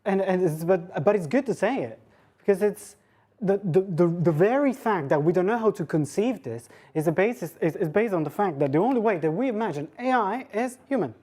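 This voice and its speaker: A woman's voice: mid-range voice, expressive, a little fast, mellow. A woman giving an academic talk, rambling and self-deprecating as she thinks aloud. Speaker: lecturer and speaker. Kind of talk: academic talk with audience questions.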